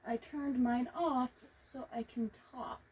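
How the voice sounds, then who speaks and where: A woman vlogger talking casually to herself as she paints, reflective, halting and encouraging, with a naturally quiet voice that she tries to project. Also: high voice, steady tone, breathy edge, poor audio. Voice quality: normally quiet voice, making an effort to project. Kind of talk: casual self-talk while painting. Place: hot room indoors.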